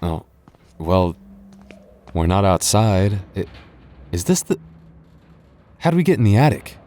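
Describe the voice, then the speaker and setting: Man acting a deep-voiced, hesitant goth boyfriend. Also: big pitch swings, unhurried, warm tone, a little hiss or hum. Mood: puzzled, searching. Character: gentle, sentimental goth boyfriend lost in endless haunted street. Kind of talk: boyfriend ASMR roleplay.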